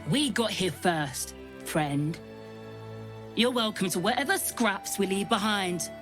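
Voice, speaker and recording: A woman's voice: mid-range voice, lively intonation, takes it slowly, fairly bright tone, soft background music. A woman playing a hungry, territorial scavenger in a video game, dry and dismissive. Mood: curt, territorial. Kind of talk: video game character acting.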